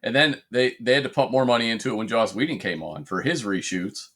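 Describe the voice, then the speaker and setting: A man's voice: medium pitch, fairly quick, fairly bright tone. A man hosting a casual podcast, leading the talk in a laid-back way. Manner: laid-back, leads the discussion. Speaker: podcast host.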